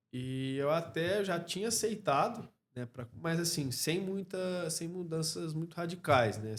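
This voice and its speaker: A Brazilian man speaking as a podcast guest in a casual interview, reflective and halting, often checking in with short tag questions. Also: medium pitch, a little hiss or hum. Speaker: podcast guest, clothing store co-founder.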